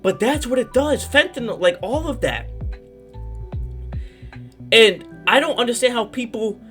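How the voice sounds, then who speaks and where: A reaction host with a very animated, swinging voice speaks in casual slang over faint background music. The delivery is emphatic, often circling back to repeat a phrase. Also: mid-range voice, unhurried.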